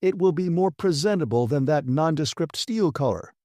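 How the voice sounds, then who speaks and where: A blustering, self-important station director from a science fiction audiobook, acted out by a man. He is officious and demanding, speaking in a lifted, pompous voice with soft-edged tone and very little room sound. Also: fairly high pitch, expressive, moderate pace, no room sound, clean studio sound, voice pushed up, close to an everyday voice. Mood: stubborn, snappish. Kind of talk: dramatised audiobook reading.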